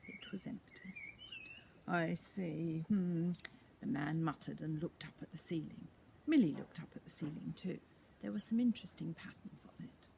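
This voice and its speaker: A woman reading a picture book to children in an animated, expressive storytelling style. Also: low-pitched, a little fast, whispered. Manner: animated, clearly paced narration.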